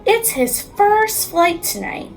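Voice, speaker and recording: A woman's voice: very high voice, big pitch swings, takes it slowly, faint music behind, pitched above the performer's own voice, heavily acted. A woman voicing a little old male elf from a storybook, a dutiful, anxious helper who speaks hesitantly and deferentially. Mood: worried.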